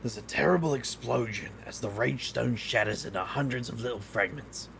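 Gravelly, wisecracking street magician. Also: male voice, medium pitch, moderate pace, a bit bright, a little hiss or hum. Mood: tense narration. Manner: taunting, sardonic, flippant. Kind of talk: audio drama acting and first-person narration.

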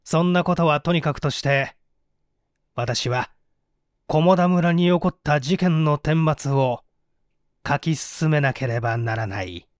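Measured, dry-recorded literary narrator. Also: medium pitch, no room sound. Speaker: audiobook reader. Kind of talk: scripted literary narration of a mystery novel.

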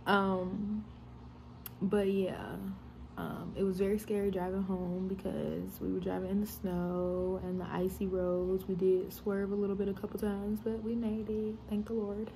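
A woman's voice: mid-range voice, level tone, unhurried, warm tone, slight background noise, rough recording. Casual young woman vlogger. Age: 20s. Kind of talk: casual vlog chat. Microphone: phone at arm's length.

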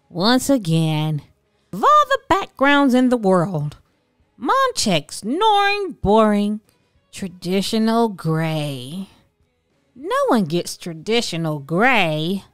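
A woman's voice: mid-range voice, fairly bright tone, no room sound, studio quality. A woman reading a children's story aloud expressively, drawing out key words with theatrical emphasis. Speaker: storybook narrator. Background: background music.

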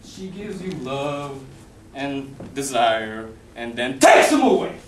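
A man's voice: mid-range voice, very animated, takes it slowly. A young man playing a mocking, smug Death in an amateur stage play, with a sardonic, taunting delivery. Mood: persuasive. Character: mocking, smug hooded Death, male, bargaining for souls. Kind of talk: theatrical stage acting. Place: indoor theatre stage. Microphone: distant audience camera. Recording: echoey theatre stage from far off, faint noise.

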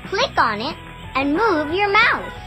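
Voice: very high voice, very animated, slow, loud music behind the voice, full character voice. A child-voiced performer playing a cheerful, encouraging little girl who coaches young players in a sing-song, exclamatory way in an educational cartoon game.

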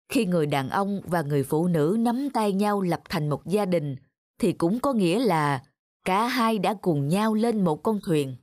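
A wise old man. He is kindly and reflective, counselling gently, with music clearly present behind him. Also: sits high. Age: older. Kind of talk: animated drama dialogue.

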